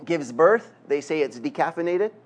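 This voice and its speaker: A man's voice: on the high side, lively intonation, moderate pace, noisy background. A middle-aged man from Quebec with a Canadian accent, preaching a conversational sermon with self-deprecating humor. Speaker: guest preacher. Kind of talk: sermon with jokes and prayer.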